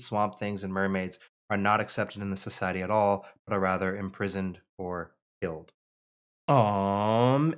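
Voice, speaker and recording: Low-voiced young man rambling on stream. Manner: rambling, self-correcting. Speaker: host and aspiring screenwriter reading stage directions. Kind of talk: casual livestream introduction and script narration.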